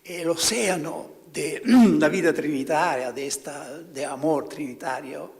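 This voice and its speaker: A man, a priest, giving a spiritual talk to fellow priests, halting and often stopping to search for words. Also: a little high, creaky, long reverb tail.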